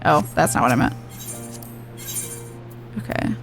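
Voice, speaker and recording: A woman game streamer reading dialogue aloud with chatty reactions, stumbling and correcting herself as she goes. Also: a little slow. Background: video game music.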